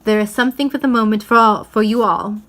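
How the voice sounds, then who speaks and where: A woman's voice: medium pitch, fairly even intonation, quick pace, a bit bright, natural voice. Woman reading as grave old Father Christmas. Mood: jubilant. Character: grave, kindly old Father Christmas, commanding gift-giver.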